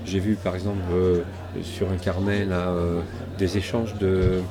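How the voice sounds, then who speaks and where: A middle-aged man from southwest France speaks in a slightly low voice, halting often to search for words and restart. A loud crowd chatters around him at a public event. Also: level tone. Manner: hesitant, many fillers and restarts. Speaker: interview guest. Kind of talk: casual interview, rambling.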